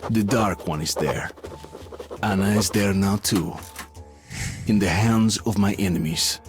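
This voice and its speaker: Low, grave actor as brooding narrator. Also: male voice, faint music behind, close to an everyday voice. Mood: grim, brooding. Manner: grave, deliberate. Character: brooding male protagonist narrating his journey. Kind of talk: scripted dramatic game narration.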